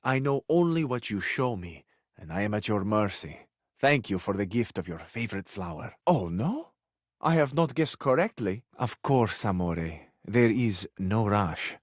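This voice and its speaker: An actor playing a flowery, sensual, effusive Italian suitor who flirts overzealously in a video game, with an Italian accent. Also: male voice, fairly low pitch, sing-song, measured pace, dry recording, studio quality. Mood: seductive, tender, then flustered, apologetic.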